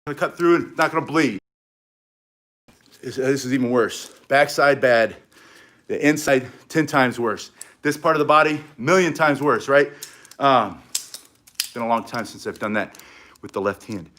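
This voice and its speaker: A middle-aged man teaching stick self-defense in an energetic, chatty way, with short punchy phrases. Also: medium pitch, a little slow, pauses often. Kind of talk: casual instructional demonstration.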